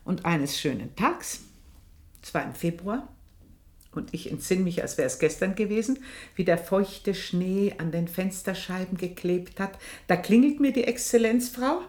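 An actress playing an aging, gossiping woman servant in a radio drama, confiding in a hushed voice that turns emphatic. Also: lowish voice, animated, measured pace. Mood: confiding, bitter. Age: older. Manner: confiding, hushed then emphatic, dwells on phrases. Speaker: narrating character. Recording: roomy radio studio sound.

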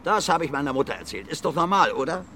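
An actor playing a surly, insolent crime suspect in a radio drama, irritated and defiant. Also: male voice, fairly high pitch, sing-song, quick pace, bright, forceful tone, slight background noise. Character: surly, defiant male suspect, rough working-class son.

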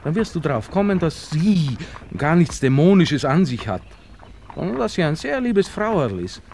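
A worldly young Viennese gentleman in an old radio play. His highish voice swings freely as he lectures and urges in a genial way. Some background noise runs under the dialogue. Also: big pitch swings, moderate pace, vocal fry. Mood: earnest, worried. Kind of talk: 1960s German radio drama acting. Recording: noisy old radio play with outdoor ambience.

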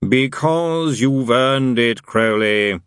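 A man voicing a dread male demon lord who speaks slowly and threateningly. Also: medium pitch, fairly bright tone, clean tone, no room sound, studio quality, voice pushed up. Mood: ominous. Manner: very slow, solemn, threatening.

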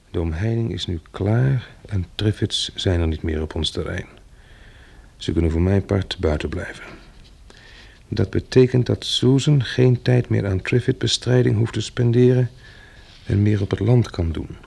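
A man's voice: lowish voice, creaky, darkish tone, husky, exaggerated voice. An actor playing a weary, practical male survivor turned farmer in a radio drama, with a hesitant, musing delivery. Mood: reflective. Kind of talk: radio drama acting with diary narration.

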